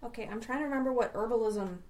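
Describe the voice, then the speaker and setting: A woman's voice: a little high, quick pace, a little mellow. A woman playing a tabletop game, chatting casually in a dry, teasing way. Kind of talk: casual chat. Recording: distant mic, faint background noise.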